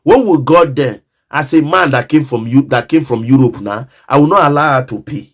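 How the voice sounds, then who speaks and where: A man's voice: mid-range voice, normal speed. A Nigerian man telling a personal story in a casual monologue, emphatic and repetitive, often speaking straight to the listener.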